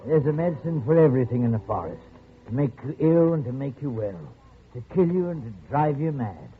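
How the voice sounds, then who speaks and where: An actor playing a lonely, genial but quietly menacing old jungle settler in a radio drama, speaking softly and haltingly with small chuckles. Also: male voice, medium pitch, lively intonation, a little hiss or hum, close to an everyday voice. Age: older. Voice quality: soft, chuckling. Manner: halting, gentle, repetitive. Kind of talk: 1950s radio drama acting. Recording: muffled, lo-fi old radio recording.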